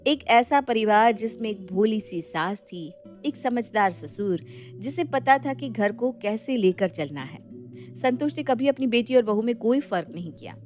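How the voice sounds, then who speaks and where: A woman's voice: a little high, expressive, measured pace, darkish tone, soft background music. A woman narrator delivering scripted storytelling for a family moral tale.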